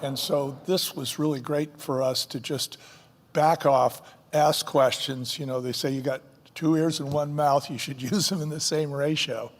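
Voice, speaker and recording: An older man, a company co-founder, answering interview questions in a folksy, animated way and acting out quotes. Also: fairly high pitch, unhurried. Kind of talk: fireside chat interview answers. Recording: long hall reverb.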